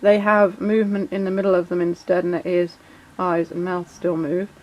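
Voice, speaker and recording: A woman with a croaky voice and a sore throat from a cold, chatting casually as she explains toy features in a vlog. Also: lowish voice, flat delivery, moderate pace, muffled recording. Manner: chatty, many fillers, reads out shout-outs. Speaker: toy collector vlogger.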